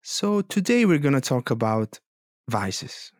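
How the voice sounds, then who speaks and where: A man with an Italian accent, co-hosting a news podcast in a halting way, with many restarts and fillers. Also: mid-range voice, sing-song, dry recording, studio quality. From Italy. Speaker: podcast co-host. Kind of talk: casual policy news chat.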